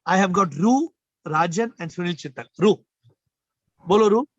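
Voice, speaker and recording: A man with a fairly high voice leads a spiritual talk over a video call. He is emphatic, repeats himself and puts questions to listeners. The sound is dry and a little muffled. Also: moderate pace, no echo. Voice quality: slightly low, subdued voice today. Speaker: spiritual teacher hosting a call.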